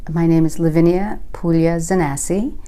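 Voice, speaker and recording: A woman in her fifties from California, a native Sicilian and Italian speaker, giving measured, thoughtful oral history answers with frequent pauses. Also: fairly low pitch, steady tone, dark tone. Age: 50s. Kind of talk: reflective interview answers.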